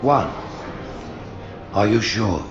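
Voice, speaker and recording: An actor playing a cold, stately alien high prophet who passes judgment with solemn, deliberate pomp in a game cinematic. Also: male voice, sing-song, lo-fi sound, music in the background, heavily acted. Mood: grave, reproving.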